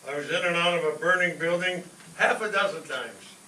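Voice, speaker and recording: A man playing a blustering, excitable family father with folksy bad grammar in an old-time radio comedy. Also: a little high, a little fast. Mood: sheepish. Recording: roomy, distant stage pickup with faint noise.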